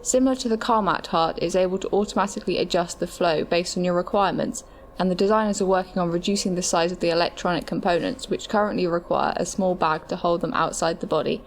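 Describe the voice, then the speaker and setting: Lower-voiced matter-of-fact female medical adviser acted by a woman. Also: fast, a little hiss or hum, lightly acted. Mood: informative. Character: future medical adviser explaining artificial hearts, informative, matter-of-fact. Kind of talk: scripted explanatory audio drama.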